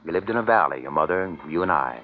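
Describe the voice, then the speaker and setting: A weary middle-aged father, a guarded scientist in a science fiction radio play. His very low voice is measured and grave. The old broadcast sounds dull, with faint music behind. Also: expressive. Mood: somber, wistful. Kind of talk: 1950s radio drama acting.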